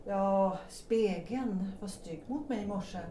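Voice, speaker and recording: A woman's voice: mid-range voice, dark tone, slight background noise, close to an everyday voice. An actress with a Swedish accent playing an unhappily married woman who pleads earnestly with her young lover in an audio drama. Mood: intense. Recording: roomy, distant from the mic.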